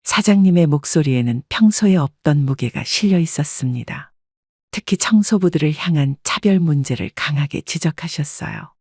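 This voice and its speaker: A woman reading a scripted first-person story as an audiobook narrator, in a measured, polite storytelling manner. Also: low-pitched, animated, warm tone, breathy edge, no room sound, studio quality.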